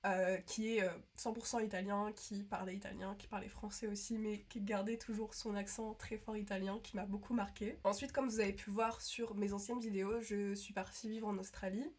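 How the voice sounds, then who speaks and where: A woman's voice: mid-range voice, level tone, a little fast, hardly any pauses, darkish tone. A young woman from France chatting casually to her viewers, rambling with lots of fillers and restarts. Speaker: vlogger. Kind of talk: casual chat to camera.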